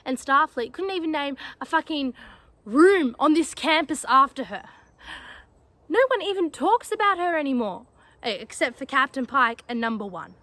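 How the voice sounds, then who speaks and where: A woman performs a young female space cadet with a high voice. She is defiant and grieving, speaking with bitter emotion in a dramatic sci-fi monologue, leaning on words and leaving pauses between them. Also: expressive, bright, forceful tone, no room sound. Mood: angry, defiant.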